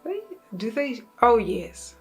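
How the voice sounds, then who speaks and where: A woman's voice: fairly high pitch, big pitch swings, slow, a little mellow, slightly rough, soft background music. A woman in her thirties from South Africa with a South African accent, chatting casually to camera in an upbeat, self-correcting way. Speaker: YouTuber. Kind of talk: casual vlog chat.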